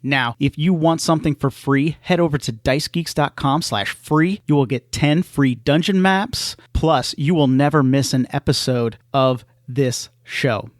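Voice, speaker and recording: A man's voice: a little high, measured pace, hardly any pauses, no room sound, clean studio sound. A man hosting a podcast, talking casually in an affable, agreeable way. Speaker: podcast host. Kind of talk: casual interview chat.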